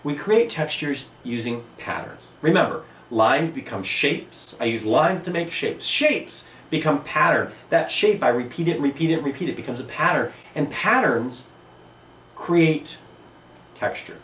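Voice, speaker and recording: A man's voice: a little high, a little slow, slight background noise. A man, an art teacher, giving an enthusiastic video lesson in a room. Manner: animated, enthusiastic explaining. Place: indoor room with a table and a sandstone wall. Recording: roomy, distant mic.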